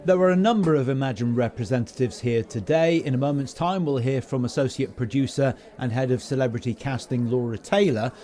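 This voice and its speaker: A man's voice: medium pitch, a little fast, few pauses, warm tone. A British man hosting a podcast, presenting and interviewing guests in a conversational way. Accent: British English. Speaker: podcast host. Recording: busy crowd chatter behind. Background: chatter of people at a venue.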